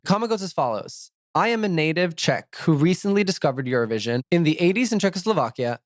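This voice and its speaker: A man's voice: fairly high pitch, fast talker, no room sound, clean studio sound. A man co-hosting a podcast, reading out listener comments in casual chat and laughing often at his own mistakes. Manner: laughs often, animated and self-mocking. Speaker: podcast co-host.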